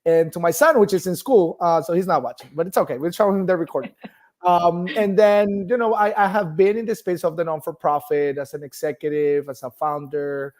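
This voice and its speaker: Male interview guest, middle-aged, Colombian accent, native Spanish speaker, slightly high register, conversational and talkative. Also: a little fast, a little mellow. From Bogota, Colombia. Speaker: guest, nonprofit executive. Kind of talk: casual interview, telling own story.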